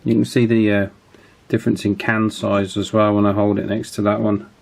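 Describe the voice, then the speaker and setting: A man with a fairly low voice talks through repair work as the host of a hobby channel. His delivery is informal and matter-of-fact. He explains things casually, with some hesitation as he goes. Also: level tone, moderate pace, creaky, a little mellow.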